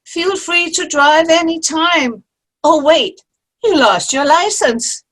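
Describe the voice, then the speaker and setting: A woman playing a sharp-tongued, sarcastic older friend in a comic play reading. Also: very high-pitched, slow pace, exaggerated voice. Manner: dry, sarcastic, needling. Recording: video call play reading.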